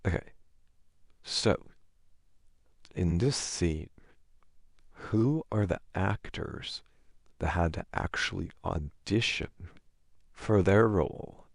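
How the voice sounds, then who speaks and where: A man with a low, creaky voice plays an awkward, amiable boyfriend in an ASMR roleplay. He talks casually and hesitantly at an unhurried pace, with a self-deprecating manner. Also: no echo, clean studio sound.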